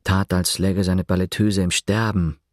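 An actor playing a reflective narrator writing his account, measured and ironic, in a German literary radio play. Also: deep voice, level tone, darkish tone, no room sound, studio quality. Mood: wry, reflective.

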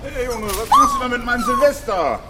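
A man playing a gruff but teasing older homeless man, big and shaggy, in a children's radio play. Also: very high-pitched, wildly swooping pitch, a little fast, very noisy, exaggerated voice. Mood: indignant.

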